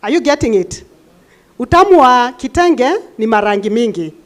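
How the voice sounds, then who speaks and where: A middle-aged Kenyan woman speaks at a somewhat high pitch. She is calm and measured as she addresses a university forum, mixing in Swahili phrases. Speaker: university staff panelist.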